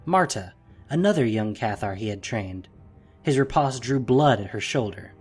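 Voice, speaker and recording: A man narrating a scripted audio drama in an expressive, theatrical manner. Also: mid-range voice, moderate pace, husky, faint music behind.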